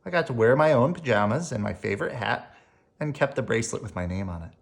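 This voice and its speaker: A storyteller reading a children's picture book aloud in a gentle bedtime manner, adding spoken asides along the way. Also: mid-range voice, lively intonation, fast talker, gravelly. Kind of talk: expressive read-aloud with ad-libbed asides.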